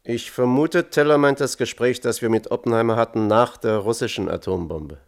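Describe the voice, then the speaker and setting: An actor playing a thoughtful, conscience-troubled physicist testifying as a witness in a German radio play, measured and candid. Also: male voice, medium pitch, vocal fry, close to an everyday voice. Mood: grave, troubled.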